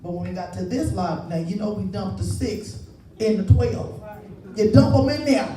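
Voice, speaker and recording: A woman's voice: mid-range voice, moderate pace, mellow, a little hiss or hum. A woman preaching in a conversational storytelling way, giving testimony and urging her listeners to respond. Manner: conversational storytelling with exhortations and repeated appeals to listeners. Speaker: church preacher. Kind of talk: testimonial sermon with call and response. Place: large indoor church space. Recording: echoey church hall, distant, music behind.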